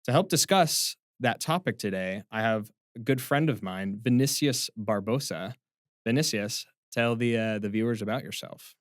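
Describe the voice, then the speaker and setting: A man's voice: lowish voice, lively intonation, no echo. Male host, friendly and upbeat, scripted intro into easy questions, dry sound. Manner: friendly, upbeat, quick to laugh along. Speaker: podcast host. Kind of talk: scripted intro then casual interviewing.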